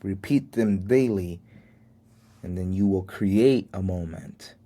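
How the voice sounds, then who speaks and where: A man's voice: low-pitched, slow pace, warm tone. A man in his twenties, a podcast host whose first language was Haitian Creole, sharing life lessons in an earnest, faith-filled way and chuckling at himself now and then. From United States. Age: 20s. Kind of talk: solo reflective podcast talk.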